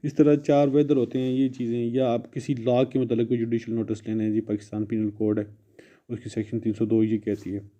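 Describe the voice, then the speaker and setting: A man's voice: mid-range voice, little pitch movement, a little fast, warm tone. A man with a Pakistani accent giving an explanatory exam-prep lecture, switching between two languages and restating points in other words. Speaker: law lecturer. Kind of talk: exam-prep lecture mixing Urdu and English. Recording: muffled, dull lo-fi audio.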